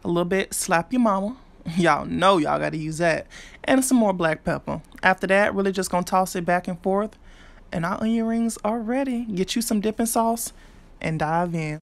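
A woman's voice: medium pitch, moderate pace, gravelly. A young woman hosting her own cooking vlog, chatting brashly and cursing freely as she talks viewers through a recipe in her home kitchen. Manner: brash, profane, chatty, speaks straight to viewers. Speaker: cooking vlogger. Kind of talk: casual cooking walkthrough.

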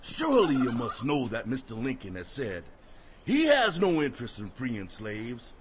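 An actor playing a fervent escaped slave who addresses a crowd in deliberate, emphatic oratory in an audio drama. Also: male voice, medium pitch, wildly swooping pitch. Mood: insistent. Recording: muffled, slightly noisy audio drama recording.

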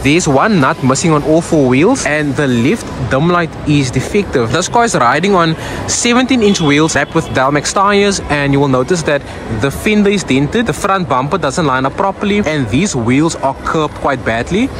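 A man's voice: on the high side, expressive, moderate pace. A young South African man whose first language is Afrikaans, casually walking viewers through used cars on an outdoor lot and talking to them directly. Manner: chatty, addresses viewers as guys. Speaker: car vlogger. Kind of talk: casual car walkaround. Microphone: phone camera. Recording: outdoor noise behind voice.

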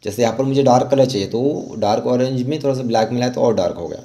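Man, mid-pitched, dark relaxed tone, art instructor, informal tutorial narration, halting delivery.

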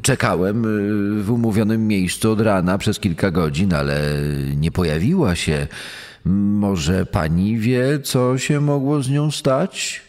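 An actor playing a smooth, arrogant middle-aged killer in a true-crime reenactment, speaking theatrically with deliberate pauses. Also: male voice, lowish voice, darkish tone, close to an everyday voice. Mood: feigned concern. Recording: long hall reverb over music.

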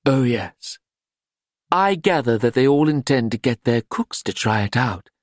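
A man's voice: medium pitch, lively intonation, a little slow, no room sound, studio quality, voice pushed up, natural voice. A man voicing a worldly, theatrical madam in an audiobook, grand, languid and persuasive. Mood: reassuring.

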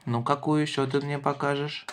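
A man's voice: fairly high pitch, flat delivery, normal speed. A man in casual, playful chat with a child, encouraging and inquisitive, using simple phrases. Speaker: adult playing with and questioning a child in a toy car show. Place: indoors at a wooden table. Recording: noisy home recording with clicking toys.

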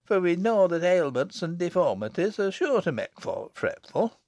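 A man's voice: high voice, very animated, moderate pace, a little mellow, dry recording, clean studio sound, pitched above the performer's own voice. A man voicing a blunt but easily cowed village lass with a Lancashire accent in an audiobook. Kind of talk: audiobook character acting.

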